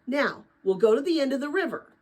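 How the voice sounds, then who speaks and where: A woman reading a storybook as a brisk, bossy young female pipeworks guide who gives matter-of-fact instructions. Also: high-pitched, lively intonation, a little mellow, pitched above the performer's own voice.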